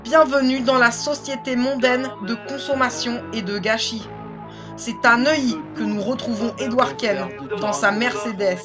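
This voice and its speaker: A woman's voice: fairly high pitch, unhurried. A woman reading scripted voice-over narration for a parody reality TV show. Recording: loud music under the voice.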